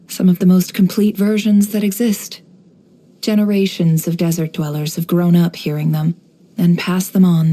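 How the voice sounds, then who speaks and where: Game role: cool, guarded, knowing young woman. Lower register, soft dark tone, wry and unhurried composure. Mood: wry, playful. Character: cool, shrewd, guarded young desert-born woman running an information business. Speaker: video game character voice. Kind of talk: scripted game character voice lines.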